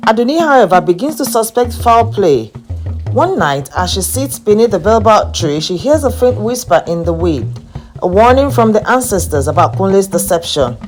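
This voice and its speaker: A woman narrating a scripted folktale as a storyteller. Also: medium pitch.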